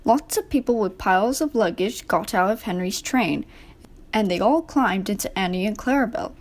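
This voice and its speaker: A woman's voice: mid-range voice, lively intonation, moderate pace. A woman narrating a scripted children's storybook.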